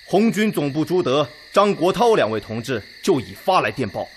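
Lively-voiced, deliberate army commander. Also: male voice, on the high side, a little hiss or hum. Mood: grave, measured. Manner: measured, deliberate, consultative. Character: weighty, considerate Red Army commander chairing a council. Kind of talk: Chinese radio drama acting.

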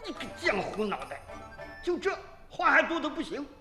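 An actor playing a blustering, exasperated rural village head in a Chinese radio drama, talking in a folksy, roundabout way. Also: male voice, extremely high pitch, very animated, normal speed. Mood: flustered, insistent. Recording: roomy echo, faint music behind.

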